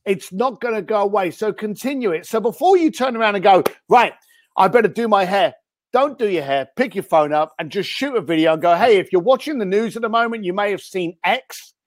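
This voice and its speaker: Male podcast guest; blunt and direct, fairly high voice, dry close recording. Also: expressive, fairly quick, no room sound. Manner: blunt, punchy advice. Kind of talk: interview chat.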